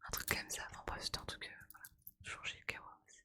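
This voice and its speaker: A woman's voice: quick pace, sharp tone. A woman whispering breathily in a casual, playful ASMR chat, with slangy, colloquial speech as she streams to her viewers.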